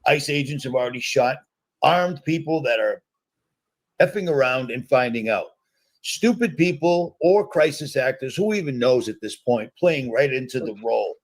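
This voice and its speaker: A man's voice: medium pitch, expressive, normal speed. A man in his fifties from the United States, hosting a live reaction stream and ranting in a conspiratorial, repetitive way. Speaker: host.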